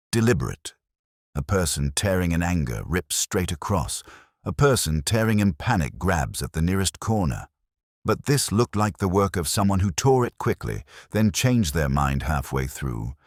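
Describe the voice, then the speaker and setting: A man narrating a scripted detective story in the first person as a melancholic, introspective inspector, with a solemn, measured, literary delivery. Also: very deep voice, no room sound, clean studio sound.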